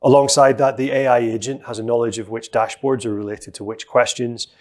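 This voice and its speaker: Middle-aged male presenter at a conference lectern, steady explainer, mid-pitched, lots of ums. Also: fairly quick. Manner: explanatory, steady, with frequent fillers. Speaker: presenter giving a software demo. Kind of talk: conference talk with live demo.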